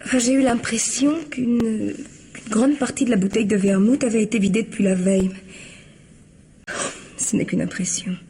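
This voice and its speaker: An actress as a mellow-voiced, hesitant widow. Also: female voice, a little high, fairly even intonation, moderate pace, pauses often, slight background noise, close to an everyday voice. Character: earnest, hesitant young widow confiding in police. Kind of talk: French radio mystery drama acting.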